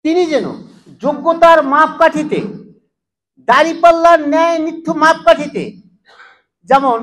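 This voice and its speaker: Man making formal appeal, super high voice. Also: moderate pace, a bit bright, low-quality recording.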